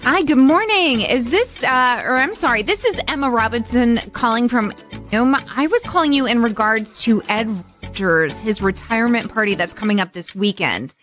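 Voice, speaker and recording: A woman's voice: fairly high pitch, lively intonation, normal speed, a bit bright, soft background music. A woman playing a polite, upbeat party planner on a prank phone call, effusively courteous and businesslike. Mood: chirpy, courteous.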